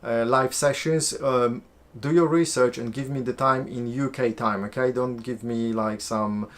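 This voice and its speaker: A man's voice: mid-range voice, steady tone, a little slow. A man from Poland with a Polish accent, answering viewer questions on a live stream in a loose, chatty way full of fillers and restarts. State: sweating, warm room. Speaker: host.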